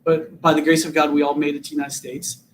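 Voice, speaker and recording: A man's voice: a little high, level tone, quick pace, slight background noise. A man in his fifties, originally from Vietnam, speaking earnestly as an interview guest and often repeating words as he starts sentences. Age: 50s. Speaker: guest, political candidate.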